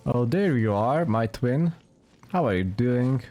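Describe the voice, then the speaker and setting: A woman giving casual, deadpan, dryly sarcastic commentary as she plays a video game. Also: rumbling low, slow pace, faint music behind. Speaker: let's play streamer.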